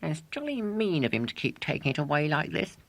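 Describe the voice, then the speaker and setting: A man's voice: fairly high pitch, sing-song, brisk, creaky, a bit bright, no room sound, pitched above the performer's own voice, exaggerated voice. A man voicing an indignant, grumbling English schoolboy in a children's storybook reading. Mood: aggrieved. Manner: petulant complaining.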